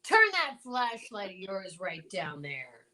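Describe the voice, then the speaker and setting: A woman playing a stubborn, folksy small-town male marshal in an old-time radio drama, blustering and halting. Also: mid-range voice, sing-song, very noisy. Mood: exasperated.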